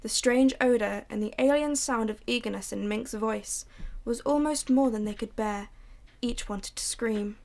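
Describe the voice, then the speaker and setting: A woman reads the narration of a radio drama. Her delivery is hushed and measured, though her voice sits fairly high. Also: creaky.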